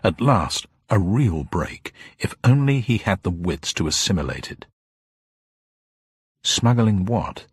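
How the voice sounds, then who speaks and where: A man reading scripted fiction in a dramatic, expressive storytelling manner. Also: low-pitched, moderate pace, few pauses, breathy edge, no room sound, clean studio sound. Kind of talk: scripted audiobook narration of a detective novel.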